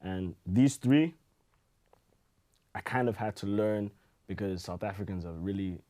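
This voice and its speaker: A man with a very low voice and a warm, dark tone. He chats casually as a podcast guest, telling stories in an easygoing, rambling way full of "you know" and "like". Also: unhurried. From Zimbabwe. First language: Shona. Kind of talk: casual chat.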